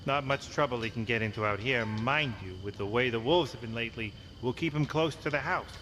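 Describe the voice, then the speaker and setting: A country coffin maker played by a man, welcoming and matter-of-fact. His mid-pitched voice is bright and forceful, with ambient noise behind it in an audio adventure game. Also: brisk, lightly acted. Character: plain, welcoming rural coffin maker, boy's uncle.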